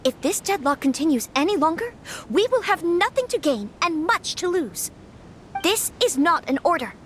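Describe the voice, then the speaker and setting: A woman's voice: very high-pitched, normal speed, a little hiss or hum. A poised young noblewoman. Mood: firm. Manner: calm, firm, articulate. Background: music.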